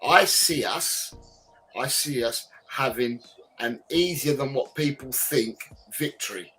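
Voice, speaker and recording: A man's voice: medium pitch, slow, fairly bright tone, faint music behind, rough recording. A man hosting a casual podcast, emphatic and repeating his points as he quizzes his guests over a video call. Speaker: podcast host.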